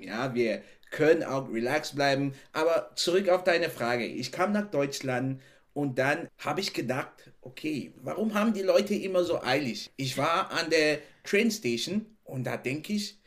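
A man's voice: on the high side, a little slow. Man, laughing often. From Cameroon. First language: not German. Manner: animated, laughs often, repeats "genau" and "ne". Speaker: podcast guest. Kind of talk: casual interview chat.

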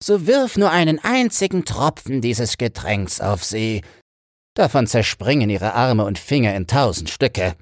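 A man's voice: medium pitch, sing-song, no room sound, studio quality, pitched above the performer's own voice. A man voicing a greedy, menacing female sea witch in a storybook reading, drawing out the words with relish. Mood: gloating. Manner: slow, drawn-out, relishing menace.

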